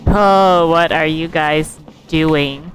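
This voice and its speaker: A woman streaming a video game, giving casual, halting commentary as she reacts. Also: low voice, steady tone, faint music behind, rough recording. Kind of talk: casual live gameplay commentary.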